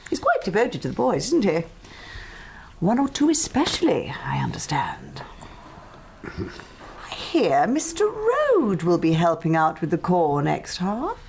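Catty upper-class English lady: low-pitched, creaky, sugary snobbery, radio drama. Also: wildly swooping pitch, unhurried, pauses often, a little mellow, a little hiss or hum, exaggerated voice. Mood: genteel. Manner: sugary, insinuating. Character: genteel, catty schoolmaster's wife, sweetly snobbish.

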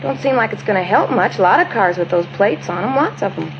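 An actress playing a plain-spoken, skeptical witness with a folksy, rambling manner in a 1950s radio drama. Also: female voice, mid-range voice, lively intonation, fast, lightly acted. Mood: doubtful. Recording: dull, faintly noisy 1950s radio recording.

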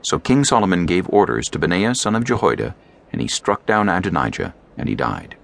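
Male audiobook narrator for scripture; very low pitch, expressive inflection, solemn storytelling. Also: brisk, vocal fry.

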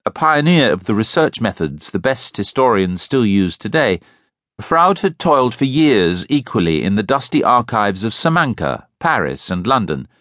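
A man reading a history audiobook as narrator, in a measured, expressive manner with strong emphasis, close to a studio microphone. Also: on the low side, no echo. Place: dry recording booth.

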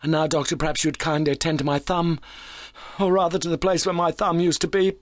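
A man voicing an overwrought but plucky young injured engineer in an audiobook, excitable, earnest and well-mannered. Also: on the high side, brisk, no echo, clean studio sound, pitched above the performer's own voice, close to an everyday voice. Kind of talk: audiobook character voice.

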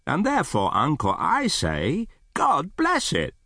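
A man voicing a cheerful, warm-hearted young gentleman in a storybook reading, earnest and emphatic. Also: a little high, wildly swooping pitch, unhurried, no echo, clean studio sound, voice pushed up, full character voice. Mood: earnest pleading.

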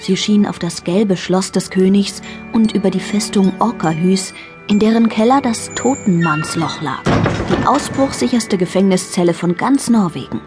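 A woman's voice: medium pitch, normal speed, music in the background. A woman narrating a scripted radio play for children as its storyteller.

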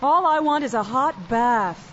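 A woman's voice: sits high, lively intonation, unhurried, a bit bright. An actress playing a practical, weary working mother and manager in an audio drama. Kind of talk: full-cast audio drama acting. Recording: muffled, slightly noisy recording.

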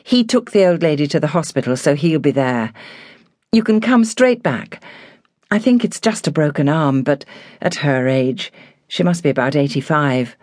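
A woman's voice: low-pitched, lively intonation, moderate pace, a little mellow, no room sound, studio quality. Woman voicing a firm, reassuring estate manager. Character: firm, protective male estate manager, steady and caring.

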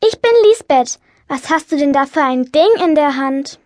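A woman's voice: high voice, expressive, normal speed, no echo, studio quality. A woman playing a curious, polite little witch girl in a children's audiobook, asking things eagerly. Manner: bright-eyed, inquisitive questioning.

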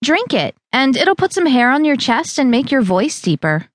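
A woman voicing a pushy, upbeat young female fitness trainer who coaxes loudly. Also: high-pitched, big pitch swings, moderate pace, dry recording, studio quality, pitched above the performer's own voice. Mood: coaxing. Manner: pushy, cheerful, loud coaxing.

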